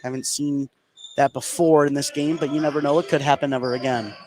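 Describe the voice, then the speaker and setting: A man doing live play-by-play basketball commentary on radio, getting excited on big plays. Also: a little high, a little fast. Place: indoor school basketball gym. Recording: noisy gym ambience behind.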